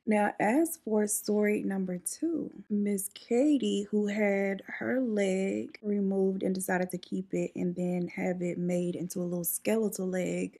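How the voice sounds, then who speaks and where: A young woman talks straight to a close camera at home while she does her makeup, chatting loosely through a story. Her voice has a gravelly fry and a slightly husky edge. She drops sassy asides and says "like" a lot. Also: mid-range voice, dark tone. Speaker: beauty vlogger telling stories. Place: indoors at home, room behind her. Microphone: camera in front at close range.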